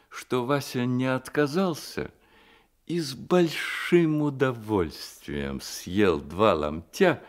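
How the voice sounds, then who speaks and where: A man narrating a literary radio play with expressive, deliberate storytelling phrasing. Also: lowish voice.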